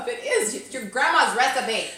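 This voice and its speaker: A woman's voice: sits high, sing-song, measured pace, pushed and bright, recorded from a distance. A middle-aged woman hosting a casual, teasing chat in her home kitchen. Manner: bubbly, teasing. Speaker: channel host. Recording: very echoey kitchen.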